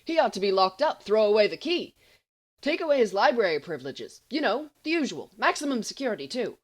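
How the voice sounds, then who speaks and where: A woman voicing a cheeky, melodramatic teenage schoolboy in an audiobook, fibbing smoothly with blustering exaggeration. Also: a little high, sing-song, fairly quick. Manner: blustering, exaggerating, mock-confidential. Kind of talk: audiobook character voice.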